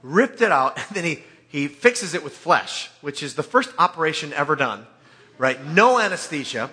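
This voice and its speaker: A man preaching in an informal, conversational way, telling scripture like an animated storyteller with joking asides. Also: a little high, normal speed. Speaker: preacher or Bible teacher.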